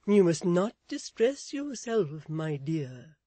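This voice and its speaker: Woman playing a genteel soothing companion lady, slow n breathy, syrupy sympathy, victorian storybook. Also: sits low, darkish tone, dry recording, heavily acted. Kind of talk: dramatized Victorian novel reading.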